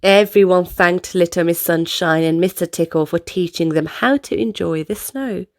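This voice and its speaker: A woman reading a picture book aloud to young children in an animated, expressive storytelling style. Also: lowish voice, normal speed. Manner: animated, addresses young listeners directly.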